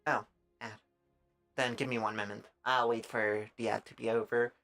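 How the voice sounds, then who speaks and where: A streamer with a raspy, dry-throated voice, chatting casually in a halting way and often breaking off mid-thought. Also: mid-range voice, flat delivery, a bit bright, faint music behind. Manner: halting, self-interrupting, trailing off mid-thought. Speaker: live streamer. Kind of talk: casual rambling chat while gaming, giving art advice.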